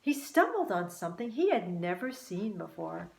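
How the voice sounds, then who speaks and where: A woman reading a children's story expressively and deliberately, with dramatic pauses. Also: on the low side, very animated, vocal fry, darkish tone, slight background noise. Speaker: author and teacher reading own book. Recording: roomy, echoing room sound.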